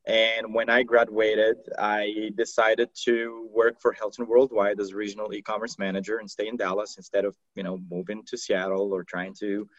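A man from Morocco whose first languages are Arabic and French, a podcast guest telling his career story in a relaxed, steady way. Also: on the low side, normal speed, hardly any pauses, no room sound, highs cut off. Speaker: podcast guest, marketing executive. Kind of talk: casual interview chat.

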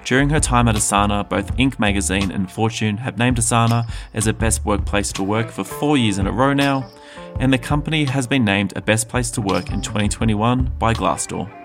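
Male podcast host, lower register, relaxed conversational interviewer. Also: brisk, faint music behind. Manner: friendly, conversational, thinks aloud.

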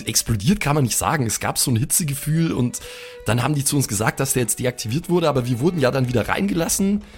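A man improvising as a player in a role-playing podcast, rambling in laid-back youth slang. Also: mid-range voice. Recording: music behind. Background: instrumental background music.

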